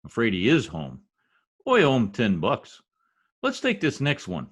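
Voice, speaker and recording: An actor playing a blustery, exasperated census taker husband with slangy talk in old-time radio comedy style. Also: male voice, medium pitch, huge pitch swings, measured pace, slightly rough, heavily acted. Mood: grumbling, brash.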